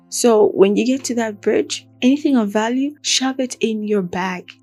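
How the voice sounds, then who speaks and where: Nigerian woman telling true crime, chatty. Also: a little high. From Akwa Ibom, southern Nigeria. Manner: chatty, digressive, animated retelling with asides. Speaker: true crime podcast host. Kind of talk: casual conversational true crime storytelling.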